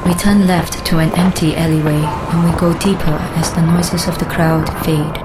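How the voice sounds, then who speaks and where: A woman's voice: sits low, little pitch movement, normal speed, loud music behind the voice, lightly acted. An actress playing a wry, observant female adventurer, narrating in the first person for an audio drama. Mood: observant, composed.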